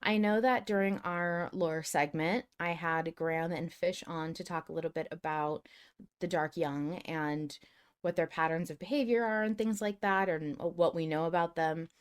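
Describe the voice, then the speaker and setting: A woman's voice: fairly low pitch, fairly even intonation, moderate pace, smooth. A woman hosting a casual live discussion podcast, chatty and thinking aloud as she interrupts herself. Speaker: podcast host. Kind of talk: casual live discussion reading listener comments.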